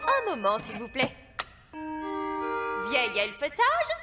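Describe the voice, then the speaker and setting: Polite cartoon elf receptionist, high singsong voice, big reverb, loud music. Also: female voice, wildly swooping pitch, takes it slowly, exaggerated voice. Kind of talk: cartoon dub acting.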